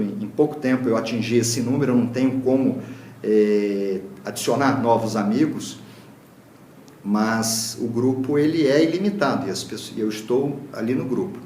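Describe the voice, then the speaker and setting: A man's voice: mid-range voice, a little mellow, a little hiss or hum. Brazilian man lecturing in an echoey room. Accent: Brazilian Portuguese. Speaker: lecturer, Reiki teacher. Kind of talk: public talk.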